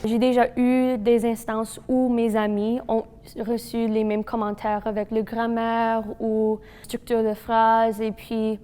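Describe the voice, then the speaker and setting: Flat, slow woman, immersion French accent. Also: on the high side, clear, resonant tone, long reverb tail. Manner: hesitant, flat, uses many "comme" fillers. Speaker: university student. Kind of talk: testimonial talk.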